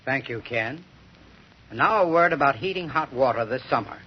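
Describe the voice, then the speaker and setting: An American man delivers a scripted sponsor message in vintage radio style. His voice is middling in pitch and somewhat raspy. The recording is dull and dated. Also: lively intonation, normal speed. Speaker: sponsor spokesman. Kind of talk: scripted 1930s radio advertising.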